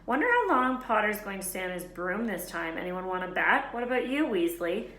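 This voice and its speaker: A woman's voice: mid-range voice, very animated, brisk, a bit bright. A woman voicing a spoiled, sneering schoolboy bully with a mocking, taunting delivery. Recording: roomy echo, faint background hiss.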